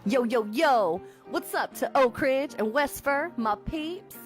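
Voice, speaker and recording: Woman, segment co-host, high pitch, lively intonation, playful, light music behind. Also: takes it slowly. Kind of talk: playful scripted segment introduction.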